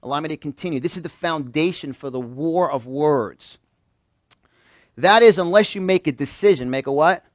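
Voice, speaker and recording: Male seminar speaker, higher-pitched, emphatic, repetitive, rhetorical questions, dry close sound. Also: normal speed, no room sound. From New York, United States. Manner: emphatic, repeats phrases and fires questions at listeners. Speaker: motivational speaker. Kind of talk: motivational seminar talk to a live audience. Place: live event room with a small audience.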